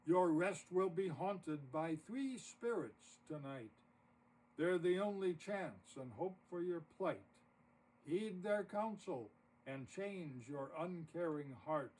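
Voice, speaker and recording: A man's voice: a little high, lively intonation, slow pace, a little mellow. A man voicing the grim ghost of a dead miser in a storybook poem, declaiming solemn, heavy warnings. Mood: grave warning. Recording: distant mic with audible noise.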